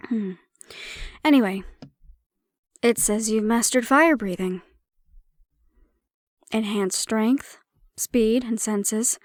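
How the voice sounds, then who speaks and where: A woman playing a prickly but secretly caring handler in an intimate fantasy audio roleplay, speaking in a wry, measured, matter-of-fact way. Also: a little high, no echo, clean studio sound. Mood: quietly protective.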